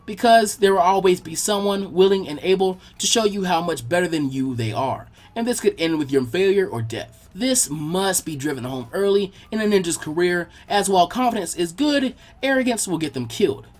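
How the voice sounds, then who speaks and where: A man reading fan fiction aloud as expressive, scripted story narration. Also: high voice.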